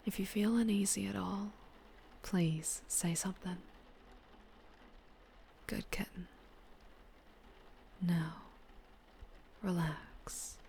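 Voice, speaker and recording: A woman playing a flirtatious, protective vampire biker in an ASMR roleplay, with a Southern US accent and a soft, intimate delivery that slips into whispers. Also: lowish voice, a little hiss or hum. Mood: tender, hushed. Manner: soft, intimate, often dropping into breathy whispers.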